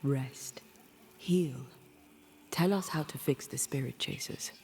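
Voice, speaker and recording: A man playing a steady, courteous ghost hunter in a video game, speaking in a measured, earnest way. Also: medium pitch, gravelly, slight background noise. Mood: concerned. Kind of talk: video game cutscene acting.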